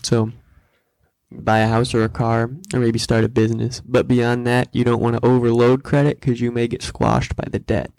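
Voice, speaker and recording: A man's voice: mid-range voice, level tone, normal speed, vocal fry, darkish tone. A young man co-hosting a casual chat, explaining money matters with jokes and acted-out asides. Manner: joking, acts out quoted voices in asides. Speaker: co-host.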